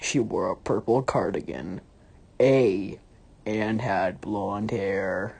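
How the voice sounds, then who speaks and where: Very deep-voiced woman reading theatrically. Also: vocal fry, a little mellow, breathy edge. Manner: deliberate, theatrical phrasing. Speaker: story reader. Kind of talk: mock-dramatic scripted narration.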